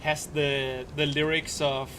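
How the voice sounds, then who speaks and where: A man's voice: fairly high pitch, slow pace, a little hiss or hum. Danish-accented man streaming a game. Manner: relaxed, rambling explanations with frequent ums and restarts. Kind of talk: casual live-stream commentary while playing.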